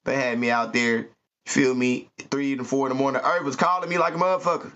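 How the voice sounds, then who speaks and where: A man telling animated, slangy stories to his listeners. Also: medium pitch, fairly quick. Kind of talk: animated comic storytelling. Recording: muffled lo-fi recording.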